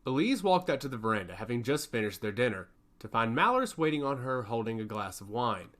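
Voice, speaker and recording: A man's voice: mid-range voice, big pitch swings, fast talker, fairly bright tone. A man narrating a scripted fantasy story as an audiobook narrator.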